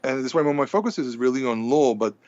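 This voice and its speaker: Man, Hebrew speaker, animated storyteller, repeats words, muffled dry recording. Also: mid-range voice, rapid-fire, no room sound. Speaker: podcast guest. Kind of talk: casual storytelling conversation.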